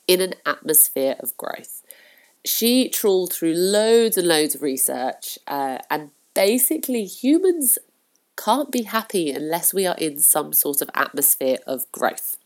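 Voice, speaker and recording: A woman's voice: fairly low pitch, big pitch swings, a little slow. A woman hosting a solo podcast in a chatty, encouraging way, with a blocked nose from a cold and frequent fillers. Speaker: podcast host. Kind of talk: casual solo podcast talk.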